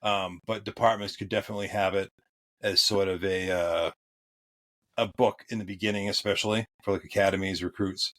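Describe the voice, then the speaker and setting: A man with a thick Boston accent, a podcast guest speaking in a relaxed way with frequent fillers and small chuckles. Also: deep voice, fairly quick. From New England. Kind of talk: podcast interview.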